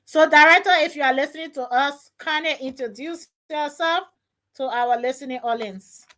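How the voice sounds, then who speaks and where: A woman hosting a live radio talk show, her voice rough from a cold, talking haltingly with restarts and fillers. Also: high-pitched, bright, forceful tone. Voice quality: rough, strained voice. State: has a cold. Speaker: radio host. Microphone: studio mic.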